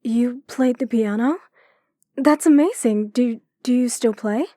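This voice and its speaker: Supportive, curious female relative for audio drama: fairly high, slow, gentle. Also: dry recording, clean studio sound. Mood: curious, impressed.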